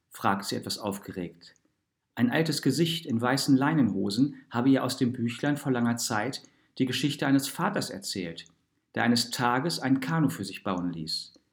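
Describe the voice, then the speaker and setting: A man reading a literary, essayistic story as a narrator, in an expressive and deliberate manner. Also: medium pitch.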